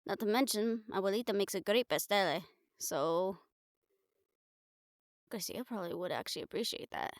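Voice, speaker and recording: A woman's voice: medium pitch, moderate pace, pauses often, no room sound, clean studio sound, natural voice. A woman playing a wry, affectionate, bossy girlfriend in an improvised, intimate audio roleplay, talking casually with slangy teasing and swearing. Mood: playfully insistent. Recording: music behind the voice.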